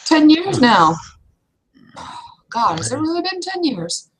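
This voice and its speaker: A woman's voice: high-pitched, sing-song, slow, slight background noise, heavily acted. A woman playing a seasoned, protective young troll in a live roleplay, talking bluntly and chattily with emphasis. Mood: musing.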